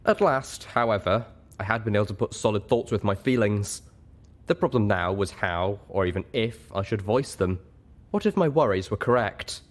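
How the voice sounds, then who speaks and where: A man's voice: fairly low pitch, lively intonation, normal speed. A man narrating a first-person story expressively, as an audiobook reader.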